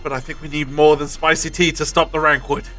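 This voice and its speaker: Guy acting commanding time traveller hero, audio drama, bright sharp voice, faint music behind. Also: a little high, flat delivery, brisk. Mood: urgent.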